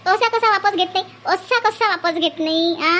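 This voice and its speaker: A woman voicing several bickering village women in a cartoon, shrill and scolding, with a Varhadi Marathi accent. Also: normal speed, a bit bright. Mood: indignant. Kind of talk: cartoon voice acting.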